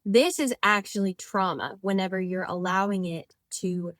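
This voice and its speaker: A woman's voice: medium pitch, normal speed, fairly bright tone, no room sound, studio quality. A woman in her twenties, a podcast guest and author, talking in a chatty, rambling way with lots of "like" fillers and self-corrections. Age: 20s. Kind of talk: casual conversational interview.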